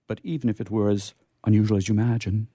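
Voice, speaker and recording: A man's voice: on the low side, flat delivery, quick pace, mellow, no echo, close to an everyday voice. An actor playing a lofty, ardent male angel turned mortal, speaking in a stately, florid way for a stage comedy. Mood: serene, unrepentant. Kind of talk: theatrical stage comedy acting.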